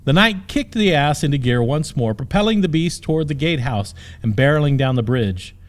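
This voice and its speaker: A man's voice: on the high side, expressive, brisk. A man narrating a scripted comic fantasy audiobook in a dramatic storytelling manner.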